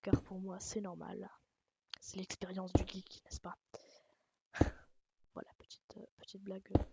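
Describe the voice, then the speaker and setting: A woman with a light Alsatian accent giving a rambling, casual game tutorial, swearing and making self-mocking jokes. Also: mid-range voice. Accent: Alsatian French. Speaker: gaming channel host. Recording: loud background noise.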